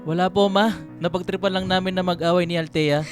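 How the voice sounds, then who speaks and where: A woman's voice: fairly low pitch, steady tone, fairly quick, lightly acted. Woman as playful, pleading young Filipino boyfriend. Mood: playfully insistent. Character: young boyfriend, teasing, earnest and placating. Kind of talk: radio drama acting. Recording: music bed under voice.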